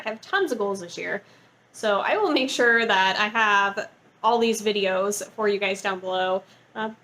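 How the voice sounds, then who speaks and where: Woman booktuber w a highish voice, chatty n gushy, says like a lot, bit of noise behind her. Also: fairly bright tone, poor audio. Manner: informal, gushing, peppered with "like". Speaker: book vlogger. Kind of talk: casual chatty book review to camera.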